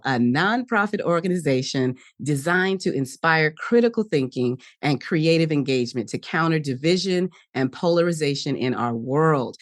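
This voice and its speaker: A woman's voice: sits low, dry recording, studio quality. A woman hosting a podcast interview, upbeat and enthusiastic with her guest. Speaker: podcast host.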